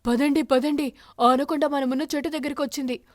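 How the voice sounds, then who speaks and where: A woman's voice: high-pitched, fairly even intonation, a little fast, dry recording, studio quality, lightly acted. A woman voicing a watchful cartoon eagle who warns the flock in an urgent, commanding way. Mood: urgent alarm.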